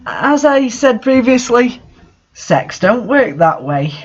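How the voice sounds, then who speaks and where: A man's voice: extremely high pitch, big pitch swings, takes it slowly, dull audio, soft background music. A man doing a mocking read-aloud of bad fan fiction, with incredulous, sarcastic asides in casual commentary.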